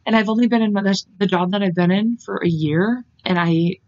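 A woman in her twenties co-hosting a podcast, chatting casually, bubbly and quick to laugh or swear. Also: medium pitch, a little mellow, no echo, highs cut off. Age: 20s. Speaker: podcast co-host. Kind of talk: casual chat.

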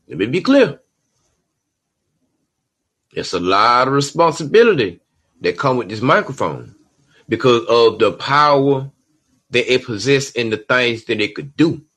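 A man's voice: on the high side, a bit bright. Emphatic man podcasting. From Georgia, USA. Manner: emphatic, preachy, streetwise, drops "you know what I'm saying" constantly. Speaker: podcast host. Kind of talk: solo podcast monologue.

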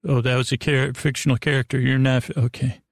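A man with a creaky, gravelly voice hosting a bedtime podcast in a meandering, halting, lulling monologue that repeats itself and trails off. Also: medium pitch, little pitch movement, dry recording, studio quality. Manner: lulling, soothing delivery. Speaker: podcast host. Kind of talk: rambling bedtime storytelling monologue.

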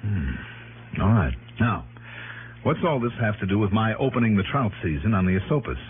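Shrewd wry investigator, low pitch, animated delivery, smooth talk, radio drama, tinny vintage recording. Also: male voice, moderate pace, a little hiss or hum. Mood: probing. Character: shrewd, easygoing male freelance investigator and keen fisherman. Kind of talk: 1960s radio drama acting and first-person narration.

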